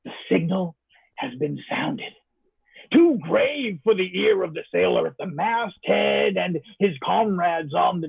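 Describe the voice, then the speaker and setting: A male actor playing a haunted, sleepless man who fears for his sanity, in a halting, theatrical old-time radio drama monologue. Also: sits high, creaky, slightly rough, exaggerated voice. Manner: theatrical, halting, archaic literary diction. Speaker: actor in a live audio drama. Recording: thin phone-like audio with faint music.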